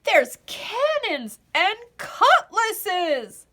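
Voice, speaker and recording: A woman voicing a small, excitable male gingerbread pirate crewman in a storybook, given to crying out. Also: very high voice, extremely slow, pitched above the performer's own voice, full character voice. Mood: excited shouting.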